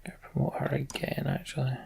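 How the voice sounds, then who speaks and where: A man's voice: mid-range voice, flat delivery, fast, gravelly, a little mellow, in a whisper. A man giving casual game commentary and reading story text aloud as a let's play host.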